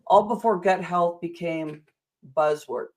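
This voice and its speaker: A woman's voice: lowish voice, measured pace, darkish tone. An American woman hosting a motivational interview, enthusiastic and a little rambling, speaking in affirming phrases. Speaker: interview host.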